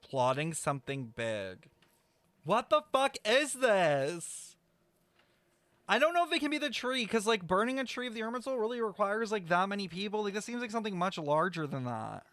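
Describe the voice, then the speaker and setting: A man's voice: sits high, sing-song, normal speed, a bit bright, no room sound. A man giving casual live game stream commentary, musing aloud in informal, slangy speech.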